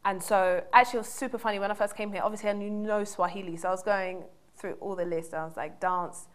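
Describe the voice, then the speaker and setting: A woman in her twenties raised in the UK, chatting as a studio guest on a talk show and telling stories in a lively, laughing way. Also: mid-range voice, fast. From United Kingdom. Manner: bubbly, laughing storyteller. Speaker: guest, dance wellness advisor. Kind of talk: casual interview chat.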